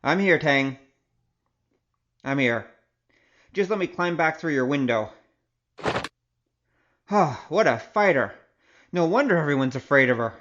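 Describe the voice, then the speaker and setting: Man playing a lively, cocky young adventurer. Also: fairly high pitch, measured pace, long pauses, lo-fi sound. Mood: upbeat. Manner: wry, chatty, confiding. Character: breezy, cocky young male adventurer and storyteller. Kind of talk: audio drama acting and narration.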